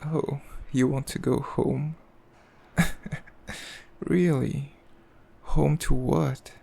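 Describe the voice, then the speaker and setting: A man playing a cruel, possessive demon king in an ASMR roleplay, speaking in a haughty, mocking, measured way with a gravelly voice. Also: mid-range voice, warm tone. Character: cruel, possessive male demon king, coldly amused, condescending. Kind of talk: ASMR roleplay, slow intimate villain monologue.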